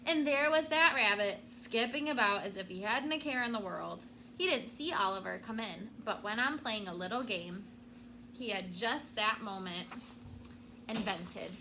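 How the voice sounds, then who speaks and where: A woman teacher reading a picture book aloud to her class with expressive storytelling, stopping now and then to explain a word. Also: medium pitch, moderate pace, slight background noise, poor audio.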